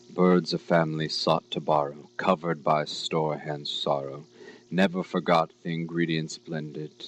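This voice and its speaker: A man narrating a scripted horror story in a measured, dramatic, literary manner. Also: extremely low pitch. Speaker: story reader.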